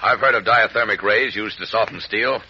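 An actor playing a confident, fair-minded superhero with a firm, measured manner in 1940s radio style. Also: male voice, fairly low pitch, pushed and bright, slightly rough, heavily acted. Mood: assured, fair. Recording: muffled, noisy old radio recording.

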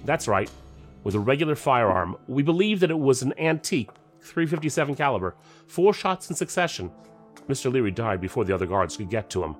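Formal security official, audio drama, guarded, stiffly polite, varied pitch, music underneath. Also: male voice, big pitch swings, fairly quick. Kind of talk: science fiction audio drama acting.

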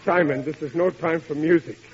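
A man's voice: a little high, fairly even intonation, a little fast, close to an everyday voice. An actor playing a flustered, blustering working-class American father in a 1940s radio comedy, stammering and folksy. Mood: gushing, blustery. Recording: muffled old radio recording, faint noise.

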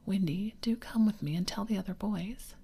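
A woman voicing an eager, coaxing boy who never grows up, all in a soft whisper. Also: medium pitch, moderate pace, gravelly, slightly rough, voice pushed up.

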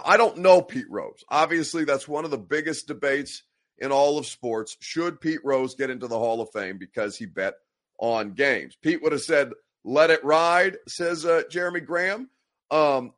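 A man's voice: fairly high pitch, normal speed. A young adult man hosting a live sports talk show with a stuffy, congested voice, ranting with exasperated sarcasm and reading out viewer comments. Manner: exasperated, mocking, animated rants with sarcastic quoted voices.

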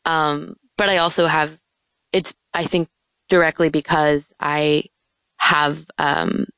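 Young woman, soft and halting, low-pitched, dry close sound. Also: flat delivery, smooth, dry recording. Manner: soft-spoken, hesitant, many fillers. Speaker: poet. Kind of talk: casual interview chat.

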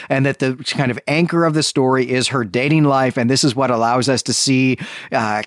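A man's voice: medium pitch, no echo, clean studio sound. A man hosting a podcast in casual discussion, talking in a chatty, enthusiastic, rambling way. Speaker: podcast host.

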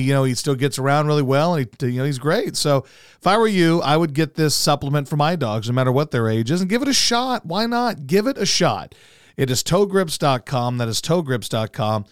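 A hoarse man hosting a casual talk show, rambling in a loose, profane way and doing live ad reads. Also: mid-range voice, no echo, studio quality. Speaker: radio host. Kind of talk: casual podcast chat and live ad read.

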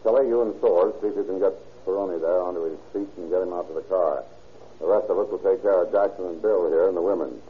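Actor as a commanding police chief, tinny old radio. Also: male voice, low voice, fast talker, lightly acted. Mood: dry, in control. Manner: authoritative, clipped orders, dryly confident. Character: commanding, unruffled police chief leading a raid. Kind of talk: 1930s radio crime drama acting.

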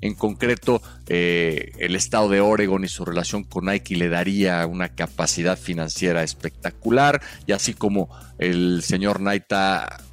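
A man co-hosting a sports podcast, who explains his points in a measured way and teases his partner. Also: low-pitched, faint music behind. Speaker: podcast co-host. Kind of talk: casual sports chat.

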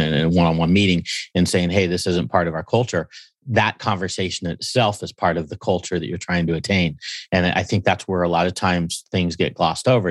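A man's voice: low voice, no echo. A man hosting an interview, who affirms his guest and reflects on the guest's points. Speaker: podcast host.

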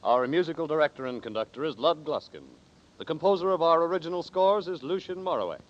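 A man with a fairly high voice reads formal radio announcements in a measured 1940s style. The sound is that of a dull old broadcast recording. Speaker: radio announcer reading credits.

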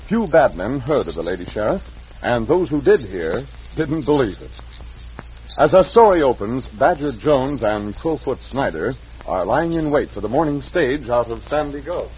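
Lively man narrating an old radio Western. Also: medium pitch, normal speed, slight background noise. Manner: dramatic, lively. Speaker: narrator and announcer. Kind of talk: 1950s radio drama narration. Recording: dull old radio recording with music.

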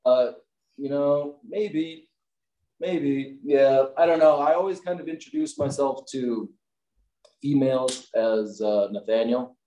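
A man's voice: medium pitch, takes it slowly, mellow. A man in his thirties from Wisconsin, a company owner chatting casually as an interview guest, rambling with many fillers. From Wisconsin, US Midwest. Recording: echoey room.

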